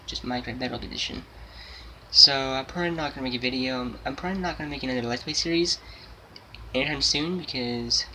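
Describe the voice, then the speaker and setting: A man's voice: medium pitch, bright, forceful tone, a little hiss or hum, low-quality recording. A seventeen-year-old young man talking casually to his channel, rambling and repeating himself with many restarts. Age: 17, about to turn 18. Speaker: gaming channel host. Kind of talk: casual unscripted monologue.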